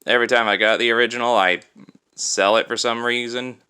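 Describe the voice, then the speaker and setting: Bright, clear-voiced man reviewing toys casually. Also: mid-range voice, fairly even intonation, fairly quick. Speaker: toy reviewer and host. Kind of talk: casual hands-on product review.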